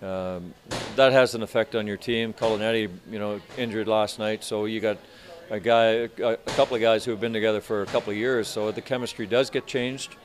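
Low-voiced older male hockey coach. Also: steady tone, a little hiss or hum. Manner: measured, halting, many restarts. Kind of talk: postgame press interview. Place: arena media room in front of sponsor backdrop.

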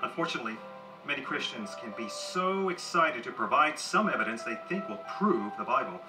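An actor playing a patient, principled archaeology mentor in an audio drama, earnest and instructive. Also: male voice, mid-range voice, sing-song, fairly quick, close to an everyday voice. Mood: earnest instruction. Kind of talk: scripted audio drama acting. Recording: played from a TV, roomy, music behind.

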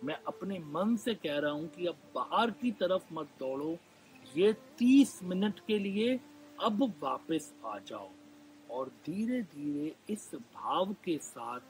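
Slow-paced man guiding meditation, Indian accent. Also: a little high, rough recording. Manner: gentle, soothing, with long pauses and repeated phrases. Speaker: meditation guide. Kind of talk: live guided meditation. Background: background music.

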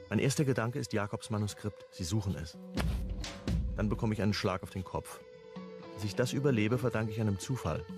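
An actor playing a hunted, wry amateur sleuth, narrating and acting in a crime radio play in a clipped, brooding way. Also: male voice, natural voice. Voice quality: low, husky. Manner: clipped, brooding, first-person telling.

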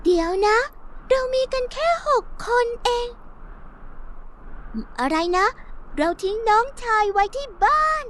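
A woman voicing an excitable, bossy little goat kid in a children's cartoon. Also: extremely high pitch, slow pace, long pauses, background noise, exaggerated voice. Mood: urgent. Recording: loud music under the voice.

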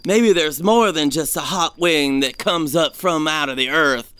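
A man's voice: on the high side, measured pace, sharp tone, smooth, no echo. A man playing a fearful, superstitious gold prospector with a folksy, haunted delivery in an audio drama. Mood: ominous.

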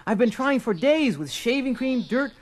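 An actor playing an earnest, obsessed husband, insistent and impassioned, in a dramatised story record. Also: male voice, very high voice, expressive, moderate pace, faint music behind. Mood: earnest, driven. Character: obsessed, earnest ordinary husband demanding answers.

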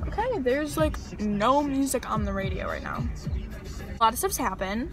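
A young woman rambling to a dashboard camera while sitting and driving in her car, chatty and exasperated, getting loud and then going soft. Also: on the high side, huge pitch swings, normal speed, vocal fry, over music. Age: young adult. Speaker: vlogger. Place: inside a parked and moving car, daytime. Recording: car with road noise.

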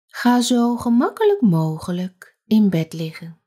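A woman leading a guided sleep meditation as a yoga teacher, speaking softly and gently with long pauses. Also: medium pitch, wildly swooping pitch.

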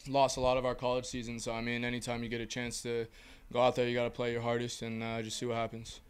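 A young man, a college baseball player, giving relaxed postgame answers full of fillers into a handheld mic. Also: medium pitch, fast, clean tone. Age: young adult. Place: small indoor press backdrop. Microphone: handheld mic held by host.

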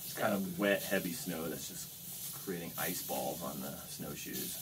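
A man's voice: low-pitched, measured pace. An American man in his thirties chatting casually, with an easygoing, wry manner. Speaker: vlogger. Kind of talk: casual chat. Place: small wooden log hut. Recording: noisy camera audio, sizzling snow-melt noise.

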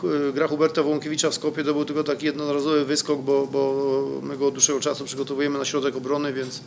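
Gravelly middle-aged coach at a press table. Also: male voice, mid-range voice, monotone, quick pace, slight background noise. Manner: hesitant, many fillers and restarts. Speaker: football coach. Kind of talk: press conference answers. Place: press room in front of a sponsor wall. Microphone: desk microphone.

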